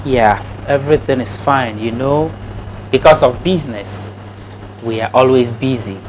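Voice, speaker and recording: A man from Ghana being interviewed about his farming, talking in a soft, easygoing way. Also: medium pitch, unhurried, slight background noise, low-quality recording. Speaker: interview guest, snail farmer. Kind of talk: casual interview.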